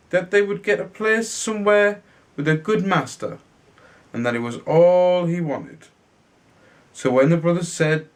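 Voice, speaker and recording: Slow-reading man, fairly high voice. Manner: halting, with stumbles and repeated words. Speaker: storybook reader. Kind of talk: reading a children's fairy tale aloud.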